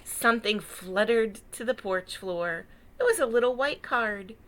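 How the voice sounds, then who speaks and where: Female children's storyteller, bright and highish, expressive picture-book read with dramatic emphasis. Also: measured pace. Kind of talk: animated read-aloud narration with asides.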